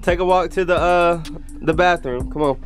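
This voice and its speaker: A woman's voice: lowish voice, normal speed, soft background music. A woman vlogger chatting casually and joking on a tour of a tiny wooden house, filmed on a handheld camera. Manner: laughing often, joking, loose casual talk. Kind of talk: casual family vlog chat.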